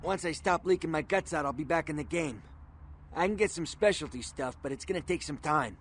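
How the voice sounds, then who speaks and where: A man's voice: on the high side, fairly quick, a little hiss or hum, lightly acted. Man acting a cocky wounded New fixer. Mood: businesslike. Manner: cocky, slangy, world-weary. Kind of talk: video game voice acting.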